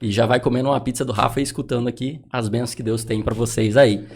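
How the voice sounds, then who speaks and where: A man's voice: mid-range voice, fairly even intonation, fast, vocal fry. Brazilian male podcast co-host. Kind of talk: casual sponsor reads and interview questions.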